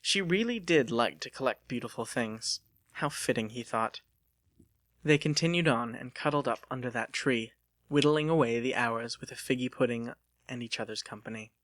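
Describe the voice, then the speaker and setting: A man's voice: mid-range voice, moderate pace, a bit bright, no echo. A man reading an audiobook as a dramatic scripted narration.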